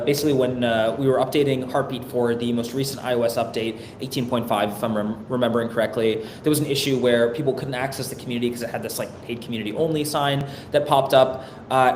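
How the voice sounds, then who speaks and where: Guy hosting a live webinar, chatty and loose, bit of a cold, echoey room w faint rumble. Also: darkish tone. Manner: loose and chatty, many fillers and restarts. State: getting over a cold. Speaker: host of a product town hall. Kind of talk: casual webinar presentation.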